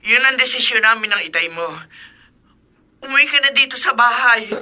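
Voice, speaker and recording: A woman's voice: on the high side, animated, normal speed, pushed and bright. An actress playing a worried, insistent mother afraid of losing her son, in a melodramatic radio drama. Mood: firm, insistent.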